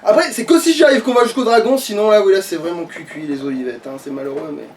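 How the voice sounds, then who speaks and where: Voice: mid-range voice, quick pace, far from the mic, slight background noise. A gamer giving casual, sweary gameplay commentary in an exasperated, grumbling manner. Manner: exasperated, foul-mouthed grumbling. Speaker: let's play gamer.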